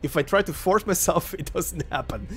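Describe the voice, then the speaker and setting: A man's voice: moderate pace, slightly rough. A man from Croatia, a native Croatian speaker, chatting casually as the host before narrating a game story. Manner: chatty and rambling, with lively pitch jumps. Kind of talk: casual spoken intro to a game story reading. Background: faint looping game menu music.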